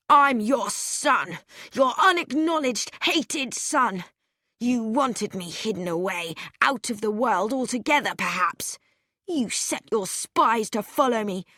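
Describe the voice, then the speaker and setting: A woman's voice: mid-range voice, fairly bright tone, no room sound, clean studio sound. A woman reading an audiobook, voicing an unhinged young man who screams and rants in fury. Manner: screaming, ranting.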